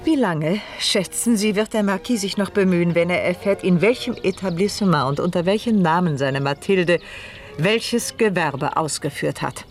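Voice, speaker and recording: A woman's voice: fairly low pitch, normal speed, soft background music, natural voice. Actress as haughty noblewoman in a period radio drama. Curt, commanding, cold, vengeful. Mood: cold, stern. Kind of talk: 1970s German radio play acting.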